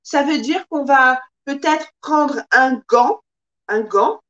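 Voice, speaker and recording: Slow, high-voiced woman teaching French. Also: muffled recording. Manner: slow, careful, expressive teacher with lots of hand gestures. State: occasional coughing from pollen allergies. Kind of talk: live-streamed language lesson. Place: small indoor room.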